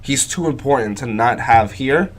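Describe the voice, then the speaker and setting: A young adult man co-hosting a casual podcast, congested from a cold, talking softly and hesitantly from a little way off the mic. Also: medium pitch, moderate pace. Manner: hesitant, many fillers, soft-spoken. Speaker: podcast co-host. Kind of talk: casual chat. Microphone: sitting far from the mic.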